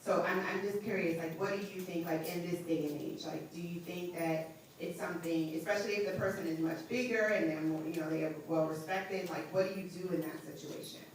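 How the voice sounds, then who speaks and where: A woman with a low voice asks her question casually, scattering "like" throughout. She sounds distant, heard through heavy hall echo. Faint ambient noise runs beneath her. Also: steady tone, fairly quick. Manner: casual, many "like" fillers. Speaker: audience member asking a question. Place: large indoor event space. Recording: echoey hall from far off.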